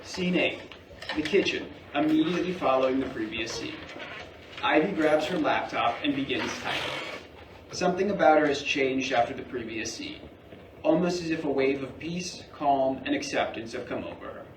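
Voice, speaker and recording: A man's voice: mid-range voice, moderate pace. A man reading out stage directions as scripted narration at a staged play reading. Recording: distant, echoey, faintly noisy staged reading.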